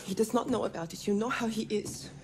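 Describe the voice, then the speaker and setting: An actress playing a gentle, protective farm wife and mother, speaking in careful, formal phrases in a dramatic video game. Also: mid-range voice, fairly even intonation, quick pace, noisy background, lightly acted. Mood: tender, hushed.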